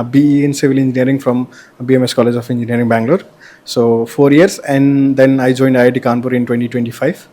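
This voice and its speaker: A man's voice: medium pitch, mellow. A man, a research student speaking hesitantly with frequent fillers. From Nepal. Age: 20s. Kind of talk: self-introduction.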